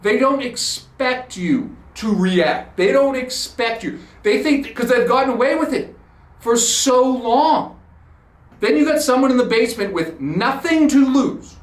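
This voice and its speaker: Man, podcast host, sarcastic rant, raised pitch, roomy echoey recording. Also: animated, measured pace. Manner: blunt, profane, sarcastic storytelling. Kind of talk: ranting monologue.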